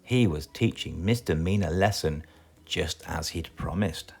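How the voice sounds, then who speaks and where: A man with a British accent reading a children's storybook aloud in a theatrical, expressive way. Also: deep voice, unhurried, vocal fry, soft background music. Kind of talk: animated children's storybook narration.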